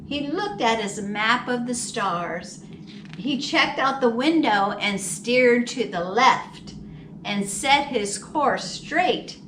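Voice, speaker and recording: A woman reads a children's storybook aloud with dramatic expression, taking her time over each phrase. Her voice is very bright and fairly high. It is picked up from a little way off in a roomy space. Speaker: library storytime reader. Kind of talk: children's picture book read-aloud. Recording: roomy, distant mic with faint noise.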